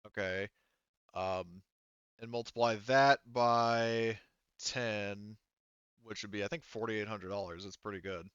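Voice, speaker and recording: A man with a low, clean voice runs a tabletop game as game master. He rambles casually and thinks aloud, and the audio is very dry and slightly dull. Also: steady tone, slow, fairly bright tone, clear, resonant tone, no room sound. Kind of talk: casual tabletop game narration over voice chat. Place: online voice call.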